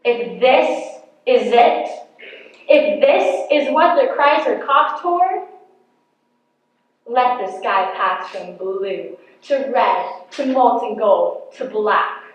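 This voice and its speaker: Woman, dramatic poetry recitation, very slow measured pacing, deliberate pauses, distant dull echoey recording. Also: on the high side. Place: large echoey indoor hall at a poetry competition. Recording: very echoey hall, distant mic, dull sound.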